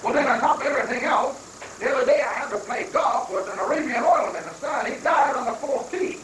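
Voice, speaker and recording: Man as anxious, very high-pitched bumbling minister. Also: animated, fairly bright tone. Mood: wry complaint. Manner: hesitant, fretful, halting. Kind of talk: amateur dramatic reading of a satirical play. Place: room at a live library reading. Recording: echoey, distant room recording with faint noise.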